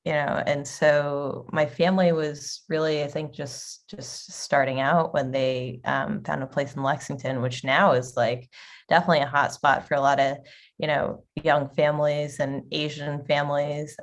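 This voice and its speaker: Low-voiced lady w a gravelly edge, rambling w lots of ums. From Boston area, USA. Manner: rambling, many fillers and restarts. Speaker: restaurant owner, guest. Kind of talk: casual interview conversation.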